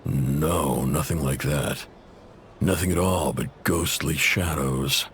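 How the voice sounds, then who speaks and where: Indebted male info broker, rock-bottom pitch, guarded, exasperated, casual bluntness, video game character. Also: slow pace, fairly bright tone, slight background noise, exaggerated voice.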